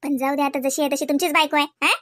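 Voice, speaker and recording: A woman's voice: high-pitched, little pitch movement, fast talker, fairly bright tone, no room sound, clean studio sound. A woman playing an earnest sister-in-law in a cartoon, coaxing and preaching in a Vidarbha Marathi accent with frequent questioning tags. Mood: pleading, reassuring. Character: earnest, persuasive sister-in-law counselling a young man. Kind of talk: cartoon dialogue in rural dialect.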